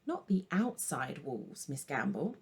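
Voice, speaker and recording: Uppity tiny male shrew, played by a woman, storybook character, brisk, officious, impatient, lively intonation. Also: a bass voice, breathy edge, voice pushed down, heavily acted. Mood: brisk, explaining. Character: uppity little male elephant shrew in uniform.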